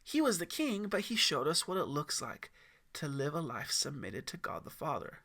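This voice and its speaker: A man voicing a mysterious, kindly mountain man in an audiobook, gently instructive and measured. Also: a little high, very animated, gravelly, full character voice. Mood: patient.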